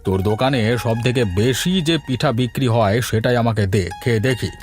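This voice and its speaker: A man's voice: medium pitch, moderate pace, natural voice. Man acting chatty village man, music behind. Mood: friendly, hungry. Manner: colloquial, chatty. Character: village men: elder headman, easygoing bachelor neighbour. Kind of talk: Bengali cartoon fairy-tale voice acting. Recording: music under the voice.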